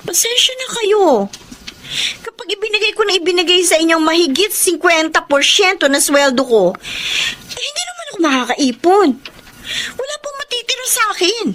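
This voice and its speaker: A woman's voice: very high-pitched, big pitch swings, normal speed, a bit bright, slight background noise, heavily acted. An actress playing a worn-out young woman who supports her whole family, scolding and tearful by turns. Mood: firm. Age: young adult. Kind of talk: TV-style family drama acting.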